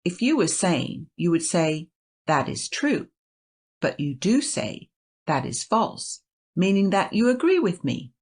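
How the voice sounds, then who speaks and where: A woman voicing a calm male doctor who reasons gently with a distressed patient. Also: fairly low pitch, slow pace, husky, pitched above the performer's own voice, full character voice. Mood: reasoning.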